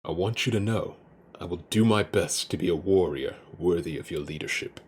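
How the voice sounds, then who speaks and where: Audio drama warrior student, male actor: big, solemn and formal, low register, rough-edged voice. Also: normal speed, a little mellow. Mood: earnest, solemn. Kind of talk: fan audio drama acting.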